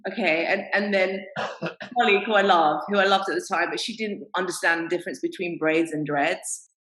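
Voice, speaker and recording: A woman's voice: fairly low pitch, brisk, fairly bright tone, echoey room, poor audio. A woman panelist telling candid stories in a panel discussion, often laughing as she talks. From England.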